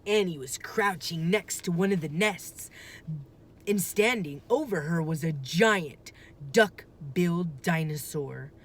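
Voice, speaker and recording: Low-voiced, slow-reading woman storyteller. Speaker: teacher reading aloud. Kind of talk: children's storybook read-aloud narration. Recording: faint background noise.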